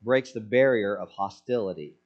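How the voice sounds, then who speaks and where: A man's voice: on the low side, normal speed, darkish tone, lo-fi sound. A man preaching a sermon in an earnest way, asking rhetorical questions to the congregation. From Senegal. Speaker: pastor.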